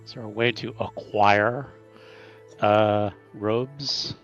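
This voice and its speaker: A man's voice: lowish voice, steady tone, takes it slowly, fairly bright tone. A man chatting casually as a player at a tabletop roleplaying game. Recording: dull sound with music behind. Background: background music.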